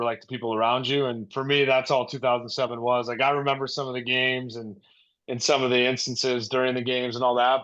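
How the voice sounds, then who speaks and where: A man's voice: medium pitch, a bit bright. An American man in his forties, chatting casually on a podcast in an earnest, hesitant way, as a coach and former player. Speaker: high school baseball coach, former player. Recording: slightly dull, lo-fi call audio.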